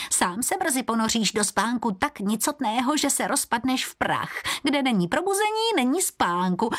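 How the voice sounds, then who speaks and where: A woman's voice: on the high side, a bit bright, husky, pitched above the performer's own voice, exaggerated voice. A woman voicing a sneering, threatening half-hen, half-parrot servant creature in a storybook. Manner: jeering, threatening.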